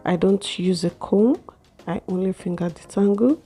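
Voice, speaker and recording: A young woman with a slightly hoarse voice, chatting slowly through a hair care vlog in a small room. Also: medium pitch, mellow. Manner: slow, chatty explaining with fillers. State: a little hoarse from a cold. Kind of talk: casual how-to vlog.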